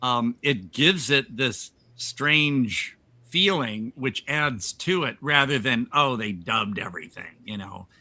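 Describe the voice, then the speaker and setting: Bright-voiced man hosting a film podcast. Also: medium pitch, animated, slow. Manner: enthusiastic, hesitant with fillers. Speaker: podcast host. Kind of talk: casual film discussion.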